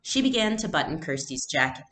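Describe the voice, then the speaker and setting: Lady teacher doing a read-aloud, low voice, explains stuff clearly, faint noise in the back. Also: a little fast. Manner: expressive, explanatory.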